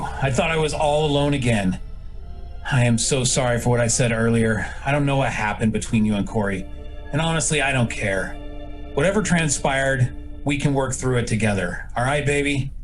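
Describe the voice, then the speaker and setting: A man's voice: medium pitch, normal speed, soft background music, close to an everyday voice. A man playing a devoted, desperate starship captain in a sci-fi audio drama, earnest and pleading. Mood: relieved, tender. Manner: earnest, pleading, short commands.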